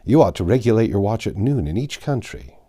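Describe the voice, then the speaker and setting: A man voicing a shrewd, suspicious detective in a storybook, politely prodding with measured questions. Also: fairly low pitch, expressive, warm tone. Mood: helpful, patient. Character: shrewd, suspicious male detective, probing slyly.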